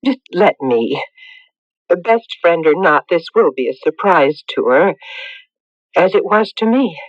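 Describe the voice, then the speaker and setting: Worried older mom in a radio play, slow, soothing but firm, dull dry recording. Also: female voice, lowish voice, lively intonation, no room sound. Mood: careful. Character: gentle, firm, concerned older mother. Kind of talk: old-time radio drama acting.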